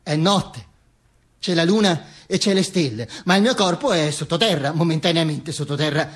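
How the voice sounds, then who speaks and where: A vain dictator speaking from beyond the grave. The voice is notably high for a man and rough-edged, husky. He holds forth pompously in a theatrical monologue, stumbling and repeating words. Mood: wry, reminiscing. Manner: pompous, halting, with stammered repetitions and asides. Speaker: stage actor performing a monologue.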